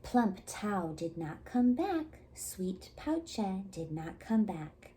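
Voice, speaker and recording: A woman reading a children's story aloud expressively, with measured dramatic pauses. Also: mid-range voice, very animated, mellow. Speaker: storybook reader at a community library.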